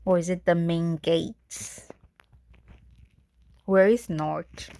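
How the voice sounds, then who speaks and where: A woman's voice: fairly low pitch, fairly even intonation, very slow, creaky, mellow. A woman streaming a game with casual, informal commentary, cheekily talking back to the characters. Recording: game music behind the voice.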